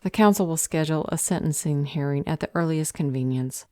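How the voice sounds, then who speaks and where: A woman playing a stern, bored council official in a fantasy audio drama, deadpan, clipped and sarcastic. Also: sits low, a little fast, dry recording, studio quality, close to an everyday voice. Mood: bureaucratic.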